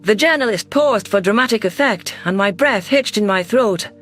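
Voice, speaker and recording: High-pitched man narrating a story. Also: lively intonation, normal speed, fairly bright tone. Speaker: audiobook narrator. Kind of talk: dramatic first-person story narration in character. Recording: music bed under voice.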